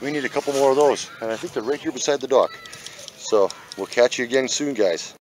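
A man chats casually as the host of an outdoor fishing vlog. His voice sits at a middle pitch and his manner is folksy and jokey, with clear outdoor noise around him. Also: a little fast, rough recording. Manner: folksy, jokey, addresses viewers as guys. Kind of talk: casual chat to camera while fishing. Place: outdoors on a wooden lake dock. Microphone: handheld camera mic.

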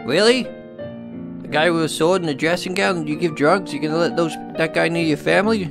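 A man's voice: a little high, moderate pace, vocal fry. A man giving playful running commentary as he plays a video game, jokey and exclamatory. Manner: jokey, exclamatory, cheeky puns. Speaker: gaming video host. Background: game music.

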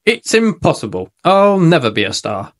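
An excitable little pig girl from a storybook, her voice squealing and set far above a normal speaking voice. A man voices her as he reads aloud. She gets swept up in everything. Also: a little high, normal speed. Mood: upset.

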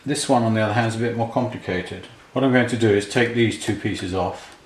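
A man's voice: fairly low pitch, a little fast. A middle-aged man thinking aloud in a casual, matter-of-fact hands-on tutorial while working at a bench. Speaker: repair hobbyist demonstrating a restoration. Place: indoors at a repair workbench. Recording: roomy, clear handling clatter and clicks.

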